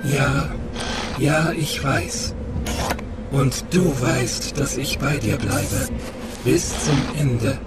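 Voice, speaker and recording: An actor playing a weary, anxious old dolphin-humanoid, grumbling and hesitant, in a science-fiction audio drama. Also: male voice, mid-range voice, lively intonation, very slow, pauses often, loud music behind the voice. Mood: resigned loyalty.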